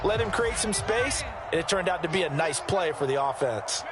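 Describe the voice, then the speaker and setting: A man's voice: sits high, animated, moderate pace, a loud crowd, heavy background noise. A man acting as an American color analyst in a football video game, confidently explaining the plays. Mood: analytical.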